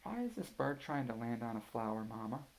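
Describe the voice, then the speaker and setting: A man's voice: mid-range voice, big pitch swings, a little fast, a little hiss or hum. A man voicing a curious young boy asking his mother questions.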